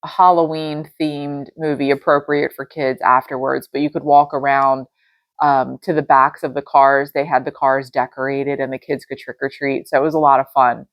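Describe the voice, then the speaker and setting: A woman's voice: rumbling low, level tone, normal speed, dry recording, muffled recording. A middle-aged woman hosting her own podcast from her dining room, chatting in a rambling way with lots of fillers, a little nasal and congested. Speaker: podcast host. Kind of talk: casual solo podcast chat.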